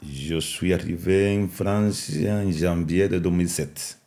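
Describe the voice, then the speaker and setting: Middle-aged man with a thick Cuban accent, very low, mellow voice, halting speech with restarts and repeated words. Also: steady tone. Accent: Cuban Spanish. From Cuba. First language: Spanish. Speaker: national boxing coach. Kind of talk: interview, mixing French and Spanish.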